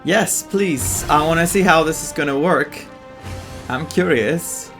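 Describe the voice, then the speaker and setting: A man's voice: a little high, measured pace, vocal fry, a bit bright, faint music behind. A man giving casual, rambling, reactive commentary as he streams a game playthrough. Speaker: gaming streamer.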